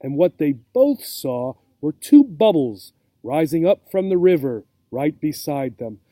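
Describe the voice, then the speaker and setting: Male teacher for children's story reading: mellow, slightly high, expressive, guiding young English learners. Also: unhurried, no echo. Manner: checks understanding with questions.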